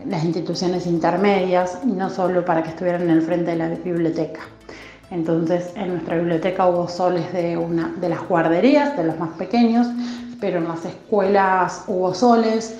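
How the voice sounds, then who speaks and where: Mellow-voiced woman librarian. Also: fairly low pitch, roomy sound, dull audio. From Argentina. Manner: measured, with frequent hesitations. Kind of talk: interview testimony.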